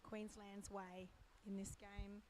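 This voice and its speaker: Middle-aged woman, hoarse and croaky, casual live commentary, mid-pitched, faint hum behind. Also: flat delivery, a little mellow. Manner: chatty, unhurried, thinks aloud with fillers. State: croaky, strained voice. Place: poolside at an indoor or covered swimming pool.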